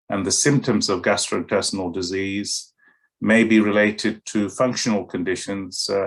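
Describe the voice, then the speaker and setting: Middle-aged male doctor, low-pitched, halting delivery with many ums and restarts. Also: gravelly. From Kenya and West London. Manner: hesitant, many fillers and restarts. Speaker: paediatric doctor. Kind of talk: interview answers to camera.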